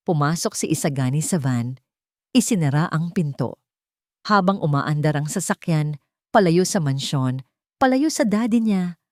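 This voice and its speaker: Woman, Filipino accent, low pitch, dramatic story narration, very dry sound. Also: a little mellow, no room sound. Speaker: narrator of love stories.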